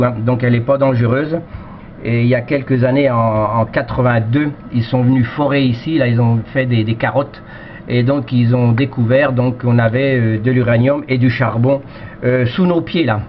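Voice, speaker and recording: A man from France, an amateur radio operator, chatting casually over the radio in a rambling way full of verbal tags, on a headset microphone. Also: medium pitch. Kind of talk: casual radio chat, unscripted. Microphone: cheap headset microphone. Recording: dull, noisy radio transmission.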